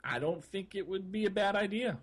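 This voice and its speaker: A man co-hosting a gaming podcast in casual conversation, explaining things in a rambling, halting way. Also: high-pitched. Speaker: podcast co-host. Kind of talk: casual chat about a video game.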